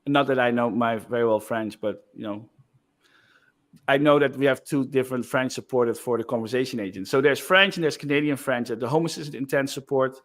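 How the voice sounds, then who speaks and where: Chatty man demoing software. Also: mid-range voice, a little fast. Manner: loose and chatty, frequent "like" and "uh" fillers. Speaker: software developer presenting. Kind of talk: casual screen-share product demo.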